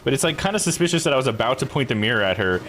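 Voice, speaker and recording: A man's voice: mid-range voice, expressive, racing, fairly bright tone. A man giving casual live game commentary, joking, slangy and thinking aloud. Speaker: video game streamer.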